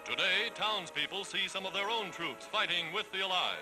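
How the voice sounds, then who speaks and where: A man's voice: high-pitched, normal speed. An American man reading scripted 1940s newsreel narration in a formal, dramatic, declamatory manner. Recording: vintage 1940s newsreel soundtrack, loud music. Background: loud newsreel music.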